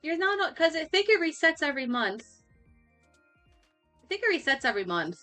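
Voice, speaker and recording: A young woman livestreamer chatting casually, laughing often and rambling with restarts, her voice going a little hoarse. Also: high-pitched, very animated, normal speed, sharp tone, faint music behind. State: losing her voice. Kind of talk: casual chat.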